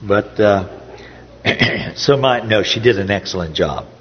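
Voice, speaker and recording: A man giving a sermon to his congregation, his voice a little hoarse, speaking conversationally with frequent pauses and fillers. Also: fairly low pitch, expressive, long reverb tail, dull audio. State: slightly hoarse. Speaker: preacher. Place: church sanctuary.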